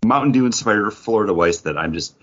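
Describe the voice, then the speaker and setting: Low husky guy, pitch jumps all over, chatty and rambly, kinda dull lo-fi audio. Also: lively intonation, brisk, fairly bright tone. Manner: chatty, rambling, lots of "like" fillers. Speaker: podcast co-host. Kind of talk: casual chat about comics and beer. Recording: dull lo-fi sound.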